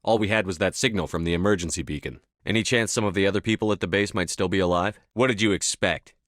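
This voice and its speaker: A commanding military hero from a sci-fi video game. His voice is low and recorded dry and close. He speaks in blunt, clipped phrases with stern authority and steady resolve. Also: quick pace, dry recording. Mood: accusing, resolute. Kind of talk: video game dialogue acting.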